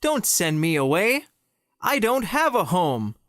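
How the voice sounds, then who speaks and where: A woman's voice: fairly low pitch, very animated, slow, fairly bright tone, no room sound, clean studio sound, voice pushed down, full character voice. A woman doing a pleading scruffy boy mouse. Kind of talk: storybook read-aloud.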